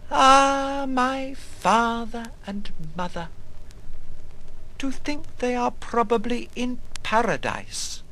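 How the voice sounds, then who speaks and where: An actor playing an old, half-mad, bitter but harmless wanderer in a dramatic monologue, rambling in broken phrases with sudden outbursts. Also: male voice, very high voice, slow, bright, forceful tone, heavily acted, poor audio. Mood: bitter, scornful. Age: older. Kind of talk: dramatic literary monologue reading.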